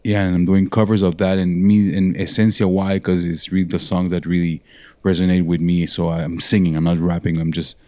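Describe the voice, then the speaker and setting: A man's voice: low-pitched, level tone, fairly quick, dark tone, dry recording. A man from Montreal, a guest musician, telling his story in a rambling, self-correcting way. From Montreal, Canada. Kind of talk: casual interview talk.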